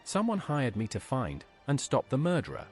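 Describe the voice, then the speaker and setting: A man's voice: medium pitch, moderate pace. An AI-voiced man playing a blunt, terse investigator in game dialogue, with faint music behind. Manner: terse, flat-worded, brief.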